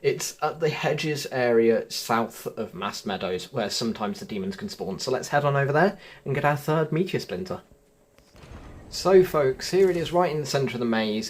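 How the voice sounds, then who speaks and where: A man's voice: medium pitch, slight background noise. A young man hosting a video game walkthrough, chatty and jokey, talking straight to his viewers. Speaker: gaming video host.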